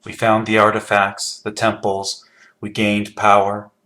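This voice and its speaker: An actor playing a solemn, purposeful spacefarer in a video game, speaking gravely in deliberate, weighty phrases. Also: male voice, lowish voice, monotone, sharp tone. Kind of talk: video game voice acting, dramatic dialogue.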